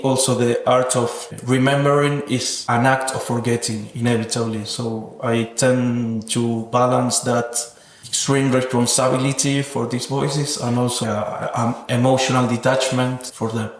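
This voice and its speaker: A man's voice: medium pitch, little pitch movement, unhurried. Reflective man in an echoey room. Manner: thoughtful, academic wording. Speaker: interviewed sound artist. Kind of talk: reflective interview talk about own work. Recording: echoey, roomy sound.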